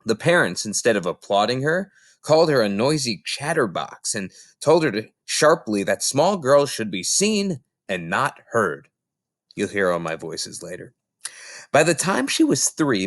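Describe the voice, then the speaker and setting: Man, children's book narrator, animated intonation, emphatic phrasing, theatrical read-aloud, dry sound. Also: lowish voice, moderate pace, no echo.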